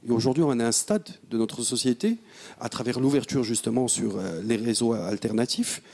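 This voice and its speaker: A man's voice: medium pitch, fairly quick, vocal fry, a little mellow. Earnest middle-aged man on a panel. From Morocco. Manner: reflective, earnest, switches between French and Moroccan Arabic. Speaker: panelist, radio host. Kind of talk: panel discussion.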